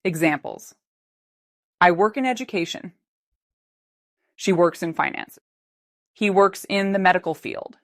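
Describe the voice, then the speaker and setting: A woman teaching English in a slow, unhurried voice, with each word spelled out clearly for learners. She sits on the lower side of pitch for a woman and sounds calm and steady. The voice-over is dry and close, with almost no room sound. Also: no room sound. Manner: slow, careful, clearly articulated teaching delivery. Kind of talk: scripted English lesson narration.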